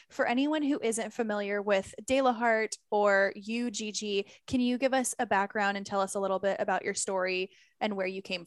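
A woman's voice: mid-range voice, no room sound, clean studio sound. A woman hosting a podcast, chatting casually and encouragingly with her guest in an informal way. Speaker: podcast host interviewer.

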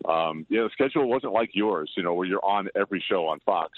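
An older man chatting as an interview guest, loud-voiced, rambling and self-deprecating. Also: sits low, lively intonation, a little fast. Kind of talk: casual interview chat. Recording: tinny dry phone line.

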